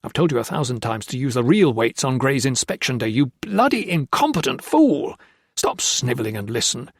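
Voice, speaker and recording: A crooked army colonel who barks orders and threatens in a firm, mid-pitched voice, as a man puts him on. His speech is clipped and commanding, recorded dry and close. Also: big pitch swings, normal speed, slightly rough, no echo. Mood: bullying. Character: bullying male army colonel, menacing and crooked.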